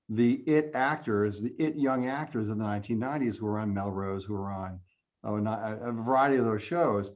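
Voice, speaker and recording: A man hosts and moderates a casual panel talk. His voice is medium in pitch, and the recording is a little dull and lo-fi, as on an online call. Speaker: host and moderator.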